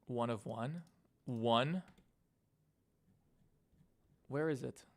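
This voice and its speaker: A man with a New York accent and a mid-range voice streams while he works. He talks in a loose, slangy, animated way, joking and swearing freely. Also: medium pitch, drawn-out words, dry recording. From Queens, New York. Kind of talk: casual reacting and chat while working.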